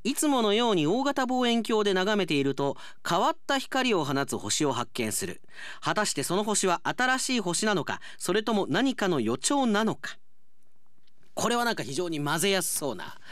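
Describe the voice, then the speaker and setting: A male radio announcer chats to listeners with a very lively, swooping delivery. His pitch runs fairly high, and the studio sound is dry with faint music underneath. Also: no echo. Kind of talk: chatty radio presentation.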